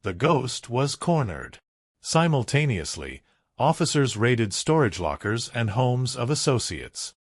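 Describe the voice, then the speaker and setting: A man's voice: medium pitch, sing-song, measured pace, slightly rough, no room sound, studio quality. A man narrating a scripted true-crime documentary, telling it dramatically in short, punchy phrases.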